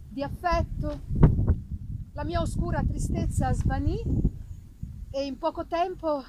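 A woman with a high voice narrates fiction in the first person. Her reading is theatrical, expressive and deliberate. It is a live recording with clear background noise. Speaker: reader. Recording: noisy live festival recording.

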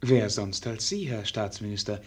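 An actor playing a melancholy, self-doubting young prince in a radio play, speaking formally and earnestly. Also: male voice, on the low side, moderate pace, breathy edge. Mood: formal, doubtful. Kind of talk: German radio play acting.

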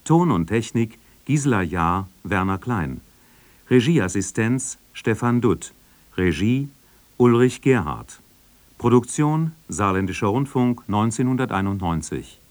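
A man reading formal radio credits with a measured, list-like delivery. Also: lowish voice, animated, dry recording. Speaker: radio announcer. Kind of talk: formal read credits announcement.

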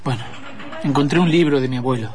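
A man's voice: medium pitch, fast, darkish tone, natural voice. A man playing a timid, deferential young student who talks hesitantly and apologetically in improvised radio theatre. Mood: sheepish. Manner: hesitant, apologetic, repeats words.

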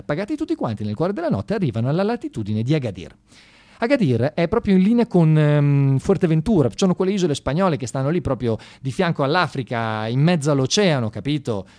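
A man's voice: a little high, a little mellow. A man delivering an informal satirical monologue on radio, ironic and mock-enthusiastic, talking straight to his listeners in colloquial language. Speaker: radio monologue performer and storyteller. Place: radio studio.

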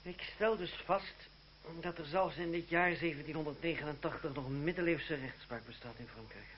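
An actor playing a defiant, principled young lawyer in a radio drama, speaking formally and with measured, stubborn politeness. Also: male voice, on the high side, lightly acted. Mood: firm, indignant. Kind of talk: Dutch radio drama acting. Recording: muffled, noisy, humming old radio recording.

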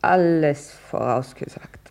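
Casting: grieving young mother, hushed low murmur, slow and breathy, old radio drama. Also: exaggerated voice. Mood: despairing, tender. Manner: whispering, drawn-out. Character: sorrowful, tender, despairing young mother with a baby. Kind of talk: 1950s German radio play acting.

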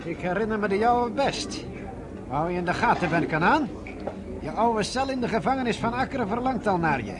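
A high-pitched male army sergeant, British, taunting and menacing in a radio play. The recording is clearly noisy and old. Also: lively intonation, brisk. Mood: mocking menace. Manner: taunting, threatening. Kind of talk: radio drama acting.

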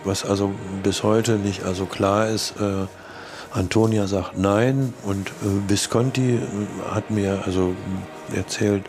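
A man telling an anecdote unscripted as an interviewee, halting and searching for his words. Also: fairly low pitch, steady tone. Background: music.

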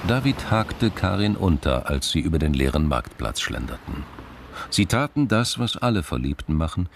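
Male narrator, deep register, literary crime radio drama, scripted read.

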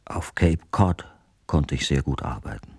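A man playing a brooding, self-assured writer who tells his story in measured, literary radio drama narration, often dropping to a breathy near-whisper. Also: very deep voice, expressive, a little mellow, no room sound, heavily acted. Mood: quietly reminiscing. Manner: measured, deliberate, ornate phrasing. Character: brooding, self-assured bearded male writer, a confined houseguest recounting his life.

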